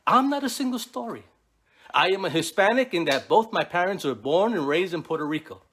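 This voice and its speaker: Middle-aged male chaplain, earnest preacher cadence, lively rising and falling delivery. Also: sits high, fairly quick, breathy edge. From New York City. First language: Spanish. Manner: earnest, preacherly, rhythmic lists. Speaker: keynote speaker, Navy chaplain. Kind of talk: prepared speech.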